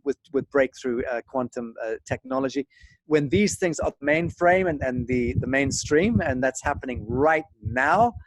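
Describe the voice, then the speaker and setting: A middle-aged man from Zimbabwe speaks as an interview guest, earnest and halting, with grandiose wording. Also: medium pitch. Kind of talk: spiritual monologue in a video interview. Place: large open room with thatched roof. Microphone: video call, device at a short distance. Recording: noisy video call audio with clicks.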